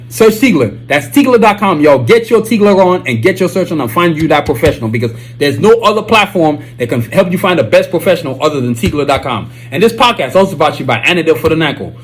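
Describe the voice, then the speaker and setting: A man's voice: fairly high pitch, slight background noise. A man with a Nigerian accent hosting his own podcast, talking casually and reading sponsor plugs, with many repeated catchphrases. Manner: animated, repetitive, keeps saying "you know what I'm saying". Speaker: podcast host.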